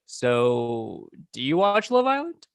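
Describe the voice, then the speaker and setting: A man's voice: medium pitch, wildly swooping pitch, takes it slowly, gravelly, clean tone, full character voice. An actor at a table read playing a playful young man who teases and mocks his friends at a bar. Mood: deadpan. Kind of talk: table read of a comedy script.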